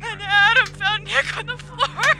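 A woman's voice: very high voice, fairly even intonation, unhurried, creaky, bright, forceful tone, soft background music, full character voice. An actress playing a frantic, grief-stricken mother in shock, crying and panicked, in an audio drama. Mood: frantic, sobbing.

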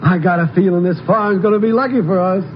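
Actor as a high-voiced, folksy American farmer. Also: male voice, fast talker, natural voice. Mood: cheerful, reassuring. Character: hopeful, stubborn farmer, husband and father. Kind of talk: 1950s radio acting. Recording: muffled, noisy old radio recording.

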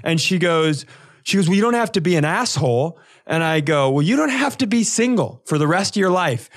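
A man co-hosting a comedy podcast, telling animated, casual stories and acting out the dialogue. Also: high voice, a little fast, background noise.

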